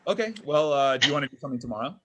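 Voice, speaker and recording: A man's voice: medium pitch, lively intonation, very fast, bright, forceful tone. An actor reading the part of William, an anxious, awkward young man, at a table read of a comedy script. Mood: pleading. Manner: nervous, fidgety delivery with awkward laughs.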